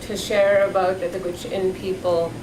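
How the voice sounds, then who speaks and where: A woman's voice: fairly low pitch, little pitch movement, a little slow. A woman from Alaska, a community advocate, speaking urgently at a public forum. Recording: echoey distant hall recording with noise.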